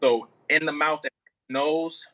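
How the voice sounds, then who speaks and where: A man leading a voice warm-up as a coach, explaining casually and haltingly with plenty of filler words. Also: a little high, steady tone, low-quality recording. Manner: informal, halting explanations with many "like" and "kinda" hedges. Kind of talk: casual hands-on coaching over a video call.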